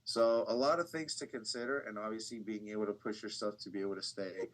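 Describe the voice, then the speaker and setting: A young man working as a TV news reporter, talking in a relaxed, rambling way with many fillers and restarts. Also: lowish voice, quick pace. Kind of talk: casual interview conversation.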